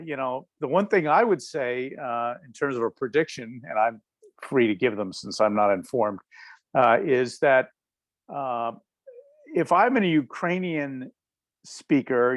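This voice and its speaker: A man's voice: medium pitch, no echo. Hesitant man on a dry video call. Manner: hesitant, halting with many fillers and restarts. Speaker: panelist. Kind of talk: casual panel discussion.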